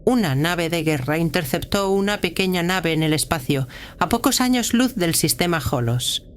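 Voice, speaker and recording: A woman playing a grave, guarded prioress of a militant religious order in a sci-fi audio drama, speaking with measured authority. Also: lowish voice, animated, slight background noise, lightly acted. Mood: cautioning.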